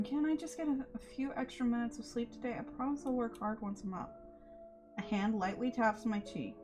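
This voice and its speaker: A woman livestreamer reading a visual novel aloud expressively, sometimes stumbling over lines and chatting between passages. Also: medium pitch. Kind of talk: dramatic read-aloud mixed with livestream chat.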